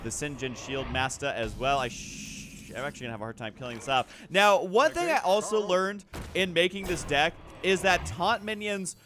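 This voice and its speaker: A man's voice: on the high side, animated, moderate pace, hardly any pauses, a bit bright. A man giving casual, self-deprecating commentary over gameplay as a video host, prone to joking exaggeration. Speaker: host of a gaming video channel.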